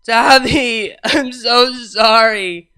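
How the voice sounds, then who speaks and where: A sobbing, slurring young pony mare, drunk and overwrought, pitched well up and loud, as a male storyteller puts her on. Her voice stays bright and clean, gushing out in wailing runs. She sounds like a storybook figure read aloud. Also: a little slow, vocal fry, clean tone, full character voice.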